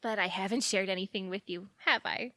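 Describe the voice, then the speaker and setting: An actress playing a giddy, lovestruck romantic woman in an audio drama, gushing and dramatic and quick to take offence. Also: mid-range voice, a bit bright, no room sound, lightly acted. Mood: dreamy, in love. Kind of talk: audio theatre acting.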